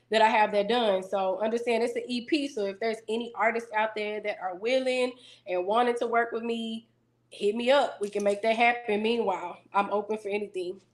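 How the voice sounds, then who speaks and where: Self-assured woman with a deeper voice. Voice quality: deeper singing voice. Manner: self-assured, businesslike, steady. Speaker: singer-songwriter guest. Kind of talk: casual interview.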